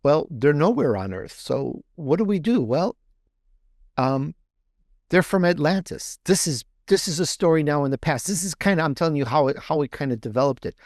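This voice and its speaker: A man's voice: on the high side, lively intonation, moderate pace, a little mellow, dry recording, studio quality. A man talking conversationally as an interview guest, repeating and rephrasing himself as he explains. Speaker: podcast guest, author and podcaster.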